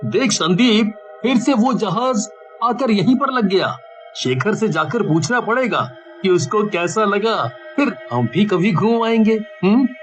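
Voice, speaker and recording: A man voicing a worried, earnest young village man in an animated cartoon story. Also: high voice, a little slow, faint music behind. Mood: curious.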